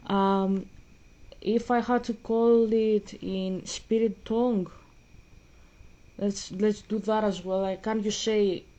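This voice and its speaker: A young woman, chatting casually and rambling with many pauses and fillers while playing a game. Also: medium pitch, dark tone. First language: Greek. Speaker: gaming vlogger. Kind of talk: casual gaming chat.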